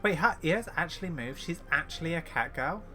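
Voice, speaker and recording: Bright-voiced man doing gaming commentary. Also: fairly high pitch, animated, a little fast, faint music behind. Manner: enthusiastic. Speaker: gaming YouTuber. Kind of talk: casual let's-play commentary.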